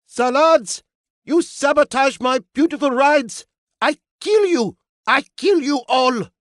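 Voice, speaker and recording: A man's voice: very high-pitched, takes it slowly, a bit bright, dry recording, studio quality, voice pushed up, full character voice. A man voicing a temperamental male designer who speaks broken English with haughty, explosive scorn. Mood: furious. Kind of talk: audiobook character voice.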